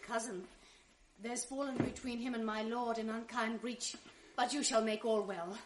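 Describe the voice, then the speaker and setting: A woman plays a gentle young noblewoman, wronged and bewildered. Her high voice pleads softly. It rings in an echoey room, a little off the microphone. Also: steady tone, moderate pace, noisy background, lightly acted. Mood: pleading. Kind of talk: 1980s radio drama acting. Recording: echoey room, from some distance.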